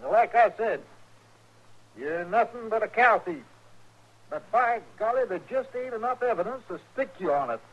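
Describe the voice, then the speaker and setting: High-pitched, blunt frontier judge. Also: male voice. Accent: rural American Western. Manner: declamatory, folksy, blunt. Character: blunt, exasperated, plain-talking frontier judge. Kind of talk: 1950s radio western acting. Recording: dull, hissy 1950s radio recording.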